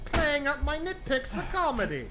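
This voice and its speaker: A man playing an excitable, teasing showman who presents characters in a comedy cartoon. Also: very high-pitched, normal speed, background noise, full character voice. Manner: excitable, showy. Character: showy, teasing male performer introducing his characters.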